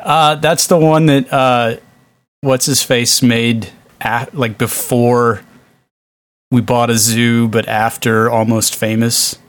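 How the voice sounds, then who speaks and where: A man's voice: mid-range voice, level tone, slow. A man hosting a podcast in casual, joking conversation. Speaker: film discussion podcast host. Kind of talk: casual chat.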